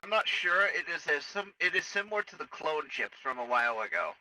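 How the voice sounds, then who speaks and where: A clinical military technician from a sci-fi roleplay game voiced by a man. The voice is extremely bright and tense, recorded close and dry, and explains in formal, halting phrases. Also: a little high, sing-song, no room sound, full character voice, poor audio. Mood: clinical, detached. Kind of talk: improvised in-character game roleplay.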